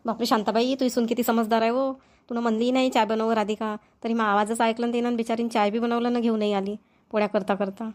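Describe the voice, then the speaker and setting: A woman plays a middle-aged cartoon village wife with a fairly high voice and a quick tongue. She is outspoken and emphatic, with a Vidarbha Marathi accent. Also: flat delivery, a little mellow, close to an everyday voice. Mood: chatty, impressed. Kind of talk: amateur cartoon voice acting.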